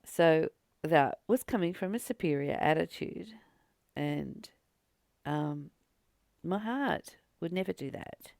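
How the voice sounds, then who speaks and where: A woman teaches from a spiritual text in a low voice with a lively, swinging melody. She reads passages aloud and reflects on them deliberately, pausing and repeating phrases for emphasis. The recording is close and dry, with no room sound. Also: no echo. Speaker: spiritual teacher and channel host. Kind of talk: spiritual book study, reading aloud with reflective commentary.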